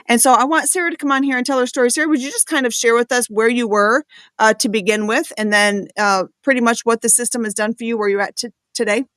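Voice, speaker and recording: A woman's voice: on the high side, fairly even intonation, fast, a bit bright, no echo. A woman hosting a live stream, introducing guests in a chatty, slightly hesitant way. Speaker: host.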